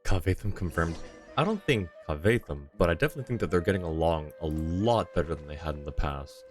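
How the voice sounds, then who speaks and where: A man's voice: low-pitched, expressive, fast talker, faint music behind. A man giving casual live commentary as a game streamer.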